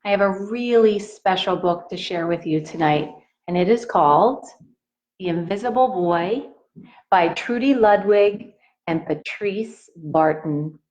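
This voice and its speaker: A woman teacher reading a picture book aloud to young children in a deliberate, expressive way, speaking straight to her listeners. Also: mid-range voice, poor audio.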